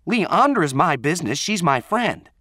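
A man's voice: on the high side, big pitch swings, slow pace, slightly rough, dry recording, clean studio sound, voice pushed up, heavily acted. A man voicing a spiteful, hot-headed teenage girl who hollers confrontationally in an audiobook story. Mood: aggressive.

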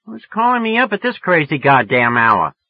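A man's voice: on the high side, sing-song, measured pace, gravelly, breathy edge, muffled recording, pitched above the performer's own voice, heavily acted. A man reading an audiobook, voicing a brash, irritable woman woken late at night who snaps suspiciously and then turns sweet and affected. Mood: snappish. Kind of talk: audiobook character voice.

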